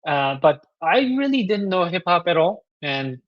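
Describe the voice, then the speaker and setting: Korean-accented male composer, slightly high voice, reflective interview guest, dry close sound. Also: lively intonation, normal speed, no room sound, muffled recording. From South Korea. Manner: thoughtful, many fillers and restarts. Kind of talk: interview answers.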